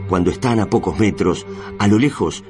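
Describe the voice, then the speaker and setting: A man's voice: sits low, normal speed, music in the background, lightly acted. A man with an Argentine accent narrating a radio drama in a dramatic, scripted style.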